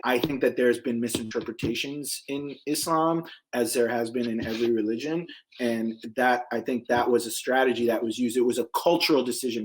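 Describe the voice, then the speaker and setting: A man from Egypt, a guest on a podcast, talking bluntly and emphatically in a casual conversation. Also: rough recording. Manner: emphatic, blunt, swears, big pitch jumps on key points. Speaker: podcast guest, small business owner.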